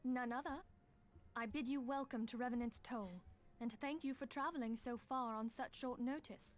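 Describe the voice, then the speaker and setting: Actress as a gracious high-voiced woman leader. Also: fairly even intonation, normal speed, lightly acted. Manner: courteous, formal. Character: gracious, formal woman leader welcoming a colleague.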